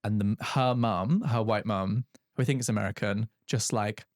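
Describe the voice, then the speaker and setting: A man co-hosting a casual chat podcast, chatty and quick to laugh, trailing off with fillers. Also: on the low side, dry recording, clean studio sound. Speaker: podcast co-host.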